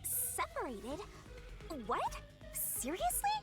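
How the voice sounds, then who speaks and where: A woman's voice: extremely high pitch, wildly swooping pitch, takes it slowly, loud music behind the voice, full character voice. A woman voicing a perky, bossy female spirit sidekick in an anime-style video game, with a theatrical, teasing delivery. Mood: baffled.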